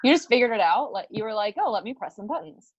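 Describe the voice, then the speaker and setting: A woman's voice: medium pitch, big pitch swings, fast, dry recording. A woman hosting a podcast, asking casual questions, often laughing and rephrasing as she goes. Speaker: podcast host. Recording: dull, muffled sound, dry.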